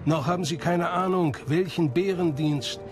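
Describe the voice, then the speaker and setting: Higher-voiced man narrating over music. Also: moderate pace. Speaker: documentary narrator. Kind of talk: scripted documentary narration. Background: background music.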